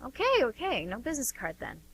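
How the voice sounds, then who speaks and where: A woman's voice: high voice, huge pitch swings, fairly quick, a bit bright, husky, full character voice, poor audio. A woman playing a doting, inquisitive older sister, chatting insistently in an amateur radio drama. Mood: puzzled, prodding. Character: doting, nosy older sister of a grown man.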